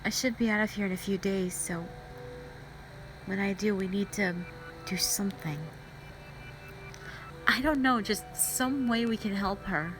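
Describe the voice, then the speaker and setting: A woman voicing a gentle, protective young woman in an audio roleplay drama, speaking in an earnest, consoling way. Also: medium pitch, measured pace, pauses often, over music, close to an everyday voice, poor audio. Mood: sad, determined. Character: gentle, protective young woman comforting her hurting friend.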